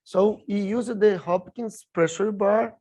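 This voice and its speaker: A middle-aged man with a Brazilian Portuguese accent, giving a halting conference talk full of filler sounds, a Portuguese speaker working in English. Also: high voice, steady tone, darkish tone. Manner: halting, many filler sounds, apologetic about his English. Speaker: industry speaker at a rail seminar. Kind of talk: conference presentation with slides.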